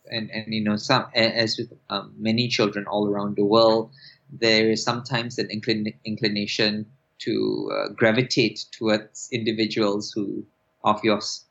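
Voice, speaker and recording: A man in his forties from Singapore, an applied linguist answering interview questions in a measured way with many fillers and restarts. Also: fairly low pitch, creaky, a bit bright. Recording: dull lo-fi sound.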